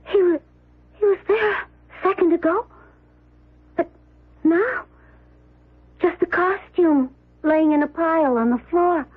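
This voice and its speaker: Distraught daughter, high and slow, trembling, old radio horror play. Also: female voice. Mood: shocked, tearful. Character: shaken, grieving daughter in a horror drama. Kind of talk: melodramatic radio drama acting. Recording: tinny, very noisy old radio recording.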